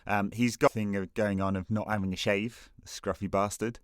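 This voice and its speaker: A man's voice: lowish voice, flat delivery, a little fast, no echo, studio quality. A man co-hosting a podcast, chatting casually about football and rambling with many fillers and restarts. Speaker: podcast co-host.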